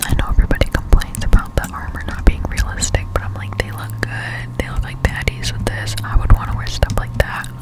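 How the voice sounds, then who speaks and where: A young woman whispering casual ASMR game commentary in a breathy voice, close to the microphone. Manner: casual, slangy, unhurried chat. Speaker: gaming ASMR streamer. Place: indoor room at home. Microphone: close-up Blue Yeti microphone.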